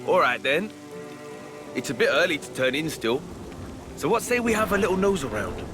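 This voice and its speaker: A man's voice: fairly high pitch, sing-song, normal speed, music in the background. A man with a British accent playing a breezy, easygoing young adventurer in a video game. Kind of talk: video game cutscene acting.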